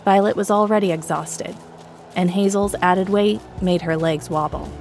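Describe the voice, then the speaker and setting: A woman's voice: mid-range voice, fairly even intonation, normal speed, darkish tone. A woman narrator reading a scripted, dramatic story with music behind her.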